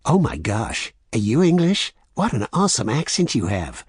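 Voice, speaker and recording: A male reader puts on a friendly waitress for a story. She is chirpy, obliging and trusting, in a raised, husky voice with lively pitch. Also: a little slow, no echo, studio quality, exaggerated voice. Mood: gushing.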